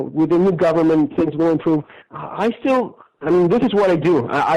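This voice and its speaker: Man, halting and thoughtful, radio. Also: fairly high pitch, highs cut off. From Argentina. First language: Spanish. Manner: thoughtful, halting, many restarts and soft laughs. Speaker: guest. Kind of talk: casual interview conversation.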